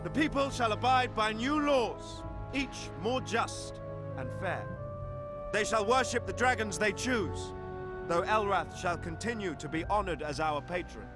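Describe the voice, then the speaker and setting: A man's voice: sits high, lively intonation, a little slow, over music. A man playing an earnest, resolute ruler in a fantasy game, making formal declarations. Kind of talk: scripted fantasy game dialogue.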